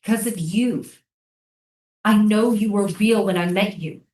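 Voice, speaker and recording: A woman's voice: mid-range voice, measured pace, a little mellow, close to an everyday voice. A woman playing an earnest, insistent American soldier and interrogator in a staged reading of a drama. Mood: pleading. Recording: roomy, echoey live stage reading.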